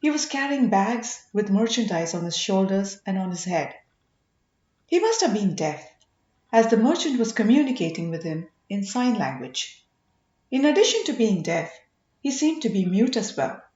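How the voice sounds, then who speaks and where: A woman reading a story aloud as scripted audiobook narration, in an expressive, measured manner. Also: mid-range voice, reverberant, lo-fi sound. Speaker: audiobook reader.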